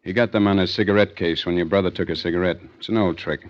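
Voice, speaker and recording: A man's voice: low voice, fairly even intonation, fast talker, close to an everyday voice. A man acting as a skeptical, hard-nosed police captain in an old-time radio thriller, brusque and commanding. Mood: stern. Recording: muffled vintage radio recording.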